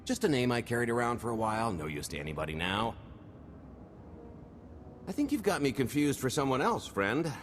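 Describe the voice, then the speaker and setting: An actor playing a smooth-talking roguish con artist in a video game, breezy, wry and sly. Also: male voice, mid-range voice, big pitch swings, brisk, long pauses, a little hiss or hum, lightly acted. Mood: smug, needling. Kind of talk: video game cutscene acting.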